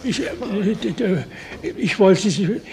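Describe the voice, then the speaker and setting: An actor in a German radio play, playing a timid, pleading poor weaver who stammers haltingly and servilely. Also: male voice, sits high, animated, creaky, a little mellow, echoey room, heavily acted. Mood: pleading, nervous.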